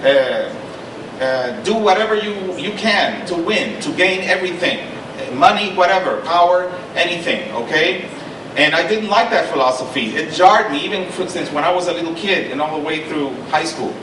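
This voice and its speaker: A man's voice: on the high side, lively intonation, moderate pace. A middle-aged man from Honduras giving an earnest panel talk, restarting phrases and checking in with his audience. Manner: earnest, repeats and restarts, stresses points with "okay?". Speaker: panelist and Spanish lecturer. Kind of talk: panel talk with personal story. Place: lecture hall. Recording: echoey hall, mic from a distance, noisy.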